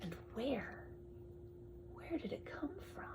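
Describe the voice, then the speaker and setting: A woman reads a picture book aloud to a young child in drawn-out phrases, often dropping to a hushed tone and adding chatty asides. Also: fairly high pitch, animated, whispered. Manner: addresses the child with questions and pointers. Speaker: storyteller reading to a young child.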